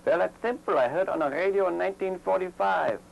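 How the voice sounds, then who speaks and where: A man playing an evasive Hitler posing as an Argentine author in an amateur comedy film, bluffing his way through unhurried answers. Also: sits high, creaky, dull audio.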